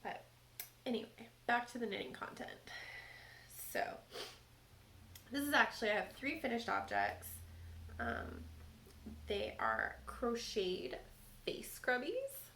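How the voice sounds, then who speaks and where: A woman with a cold hosts a knitting podcast in a slow, unhurried drawl with a gravelly, creaky edge. She chats casually and fills pauses with ums. The room is echoey, with faint noise behind her. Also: a little high. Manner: chatty, relaxed, with frequent ums. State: stuffy from a cold. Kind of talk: casual show-and-tell chat.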